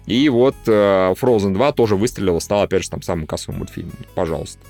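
Low-voiced, quick-talking male podcast host. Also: darkish tone, soft background music. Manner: informal, blunt slang with mild swearing. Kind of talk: casual podcast discussion of animation.

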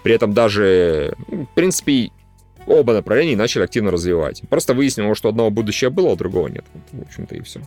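Low-voiced, quick-talking male podcast host. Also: animated, darkish tone, faint music behind. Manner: informal, blunt slang with mild swearing. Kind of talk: casual podcast discussion of animation.

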